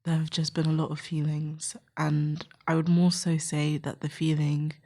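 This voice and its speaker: Woman, twenties, from Nigeria, low-pitched, mellow, reflective interview answers. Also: completely flat delivery, moderate pace, vocal fry. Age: 20s. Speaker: youth activist, podcast co-host.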